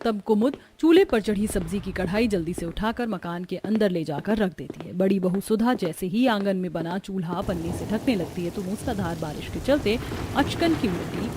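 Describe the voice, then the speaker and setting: A woman's voice: mid-range voice, animated, normal speed, background noise. A woman giving scripted narration for a cartoon story as its narrator.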